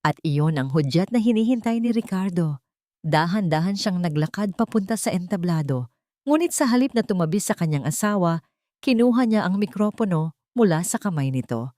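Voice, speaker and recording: Low-voiced Filipino woman narrator. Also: animated, normal speed, mellow, no echo, studio quality. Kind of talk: scripted dramatic story narration.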